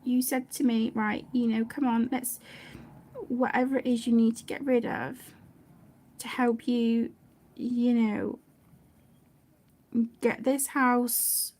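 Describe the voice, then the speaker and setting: A woman's voice: fairly high pitch, fairly even intonation, slow pace, pauses often, darkish tone. A British woman chatting casually and in a rambling way as she hosts a colouring stream, often breaking off her own sentences. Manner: chatty, rambling, self-interrupting. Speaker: host of an adult colouring channel. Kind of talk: casual live chat while colouring.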